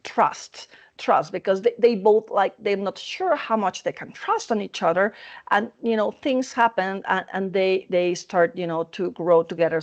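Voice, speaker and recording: A woman's voice: fairly low pitch, vocal fry, highs cut off. A woman author answering interview questions, hesitant and repeating words as she finds them. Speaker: author guest.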